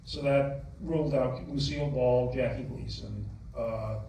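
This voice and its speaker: A man with a gravelly edge answers questions at a movie theater Q&A, stopping and restarting as he reaches for names and figures. His voice sits at an ordinary pitch but comes from a distance, and it rings through a big, reverberant theater hall. A steady hiss of noise runs underneath. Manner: halting, many fillers and restarts, detail-heavy. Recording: echoey theater hall, distant mic, noisy.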